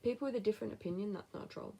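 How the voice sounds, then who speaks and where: Gravelly West Midlands woman, chatty vlogger. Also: mid-range voice, rapid-fire, dark tone. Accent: West Midlands English. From England. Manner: chatty, blunt, drops to whispered asides. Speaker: vlogger answering viewer questions. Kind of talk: casual chat to camera.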